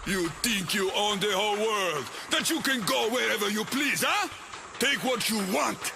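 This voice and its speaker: Evil militant boss from a war game, high gravelly taunting voice, theatrical, big music behind. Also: male voice, normal speed. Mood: mocking, menacing. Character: menacing, mocking male enemy militant leader. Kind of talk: video game voice acting. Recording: loud music under voice.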